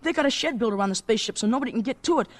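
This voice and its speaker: An actor playing an excited, earnest young boy in a radio drama, gushing eagerly in boyish slang. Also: mid-range voice, racing, close to an everyday voice. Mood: excited, insistent.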